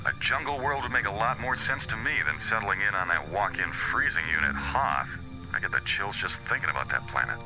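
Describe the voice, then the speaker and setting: A man acts a sardonic smuggler turned rebel captain in a space radio play. His voice is on the low side but swings about expressively, wry and grumbling, over loud music. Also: animated, fairly quick, lightly acted, poor audio. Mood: sardonic, relaxed. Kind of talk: space adventure audio drama acting.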